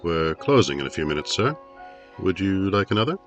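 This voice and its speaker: A man's voice: low voice, sing-song, fairly quick, muffled recording, faint music behind. A man acting in 1950s-style radio drama as a polite, matter-of-fact bartender near closing time. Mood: courteous.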